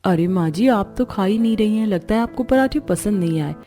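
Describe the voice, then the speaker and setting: A woman's voice: mid-range voice, animated, brisk, dark tone, soft background music, lightly acted. A woman voicing a kind, reassuring young daughter-in-law in a family cartoon. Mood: sweet, caring.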